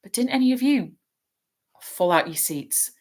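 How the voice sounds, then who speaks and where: A woman's voice: on the high side, animated, unhurried, a little mellow, voice pushed up. A woman reading aloud and voicing a shaken teenage boy who asks urgent, confused questions.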